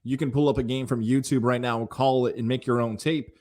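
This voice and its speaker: A man's voice: mid-range voice, steady tone, a little fast, darkish tone. An American man interviewing a guest conversationally as host, with talkative, long lead-ins to his questions.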